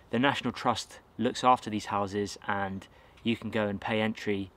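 A man in his thirties with an English accent chats to the camera from a garden. His voice sits fairly low, and he rambles easily, full of fillers and little self-corrections. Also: fairly bright tone. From England. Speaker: outdoors vlogger. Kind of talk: casual talk to camera. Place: outdoors in a garden by a wooden shed.

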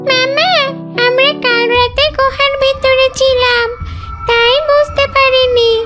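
A performer voicing a young duckling, a protective and earnest elder sister with a very high childlike voice, in a children's cartoon. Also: little pitch movement, slow pace. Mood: polite. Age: young child figure. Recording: music under the voice.

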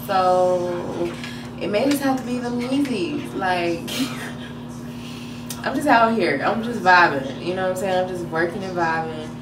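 A woman in her twenties chatting casually in a vlog while doing her hair in a hotel bathroom, laid-back and slangy, her voice a little deeper after a nap. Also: noisy background. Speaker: vlogger. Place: hotel bathroom, indoors, small. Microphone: camera on the counter at arm's length. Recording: echoey bathroom, mic at a distance.

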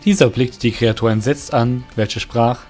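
Male narrator, fantasy audio drama. Mid-pitched, literary storytelling, faint music underneath. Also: fairly even intonation, normal speed, darkish tone.

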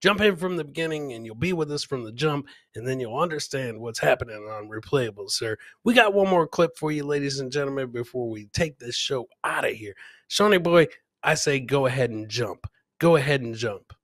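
A man's voice: mid-range voice, animated, fairly quick, a bit bright, no echo, clean studio sound. A middle-aged man chatting and joking as he hosts live reactions to viral clips, often repeating his phrases. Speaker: comedy host.

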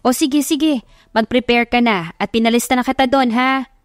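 Lady doing older catering boss woman, chatty n practical, radio drama, high pitch, music under. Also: moderate pace, no room sound, studio quality, lightly acted. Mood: friendly, businesslike. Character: older businesswoman caterer, friendly, brisk, trusting. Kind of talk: Tagalog audio drama acting.

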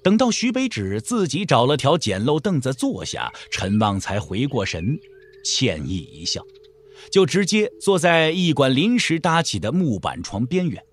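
A man narrating an audio drama in a measured, literary storytelling style. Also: medium pitch, wildly swooping pitch, slightly rough.

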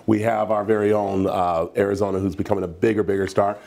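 A man's voice: fairly low pitch, level tone, fast talker, vocal fry, darkish tone. A middle-aged man hosting a morning TV show, chatty and enthusiastic as he interviews a guest in the studio on a lapel mic. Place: TV studio set, indoors.

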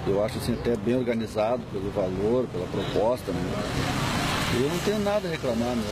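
A man in his forties interviewed on a street, speaking calmly and plainly. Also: lowish voice, quick pace, heavy background noise. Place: outdoors on a city street.

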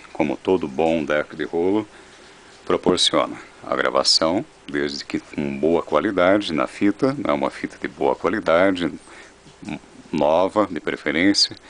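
Low-voiced man. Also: slight background noise. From Brazil. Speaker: vintage audio seller presenting products. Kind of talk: product demonstration. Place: indoor room. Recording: music playing over the voice. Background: loud guitar music.

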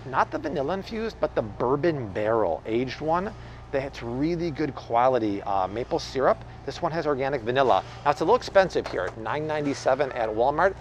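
A man's voice: mid-range voice, big pitch swings, fairly quick, slight background noise. Upbeat man talking through a face mask. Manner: enthusiastic, punchy, addresses viewers as fam. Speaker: food vlogger. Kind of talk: casual grocery haul commentary. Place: large supermarket aisle. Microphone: handheld camera close up, wearing face mask.

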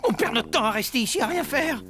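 An actor voicing a rough, ill-mannered biker henchman in a cartoon, brash and dim. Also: very high voice, lively intonation, quick pace, music in the background. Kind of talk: French cartoon acting.

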